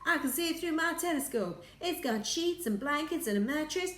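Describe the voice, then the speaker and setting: A woman's voice: sits high, animated, moderate pace, reverberant, distant mic, pitched above the performer's own voice. A woman voicing a nervous, deferential male astronaut in a storybook, eager to please his superior.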